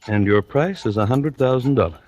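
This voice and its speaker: An actor playing a wealthy, shrewd and wary politician in a 1940s radio drama, speaking in a smooth, formal, measured way. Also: male voice, medium pitch, a little mellow, close to an everyday voice. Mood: shrewd, firm. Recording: noisy, crackly old radio recording.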